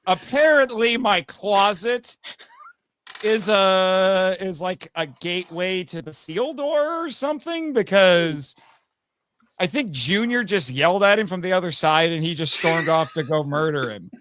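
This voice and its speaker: High, bright-voiced man chatting as a guest. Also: lively intonation, a little slow. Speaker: podcast guest, voice actor. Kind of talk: casual podcast chat.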